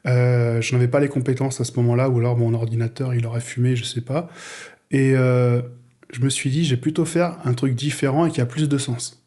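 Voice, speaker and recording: Mellow-voiced man, halting casual talk. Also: mid-range voice, flat delivery. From France. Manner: halting, repeats words while searching for phrasing. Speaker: guest, audio fiction writer and director.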